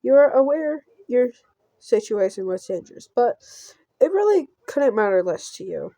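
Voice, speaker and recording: High-voiced woman reading a visual novel. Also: big pitch swings, dark tone, dry recording. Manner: playful, halting reading with stumbles and giggly asides. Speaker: gameplay streamer. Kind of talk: reading a visual novel aloud with casual reactions.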